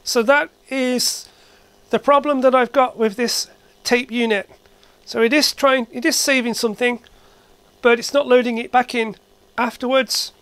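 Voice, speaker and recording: A woman narrating a hands-on repair demonstration casually, thinking aloud in short, halting phrases. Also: a little high, steady tone, fairly bright tone, clean tone, no room sound. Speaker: hobbyist repair video maker. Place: indoor workshop at a workbench.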